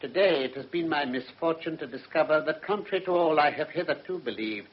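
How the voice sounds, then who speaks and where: An actor playing a stern, dignified judge with an English accent, speaking formally and severely in a radio drama. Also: male voice, a little high, a little fast, lightly acted. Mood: grave. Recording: muffled old radio recording.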